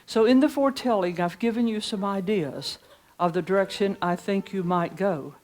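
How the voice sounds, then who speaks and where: An older woman with a deep voice leading a chatty preaching workshop in a conference room, reading hymn lyrics slowly. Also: darkish tone. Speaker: pastor teaching preachers. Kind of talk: informal preaching workshop talk. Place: conference room with seated audience.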